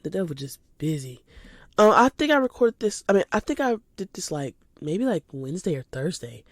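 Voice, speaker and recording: An eighteen-year-old woman chats casually and spontaneously as a podcast host, animated and informal, slightly stuffy from a cold. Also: lowish voice, fairly quick, no room sound, studio quality. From United States, lives in Georgia. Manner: animated, informal, addresses listeners as y'all. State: recovering from a cold.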